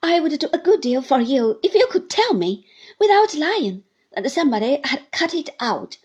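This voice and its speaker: A woman reading aloud, voicing a sly, bitter young man whose protests go whining and shrill. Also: very animated, moderate pace, darkish tone, highs cut off. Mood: sneering.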